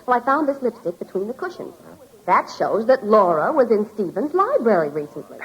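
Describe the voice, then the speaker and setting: An actress playing a stubborn, intuitive young sleuth's sidekick in a 1940s radio drama, earnest and insistent, with a breathy voice. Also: female voice, mid-range voice, very animated, brisk, highs cut off, natural voice. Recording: old-time radio recording.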